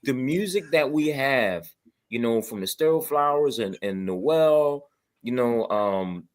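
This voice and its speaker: A man's voice: medium pitch, expressive, slow. A man chatting casually to promote something, enthusiastic and slangy, often repeating words as he hypes it. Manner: enthusiastic, slangy, repetitive hype.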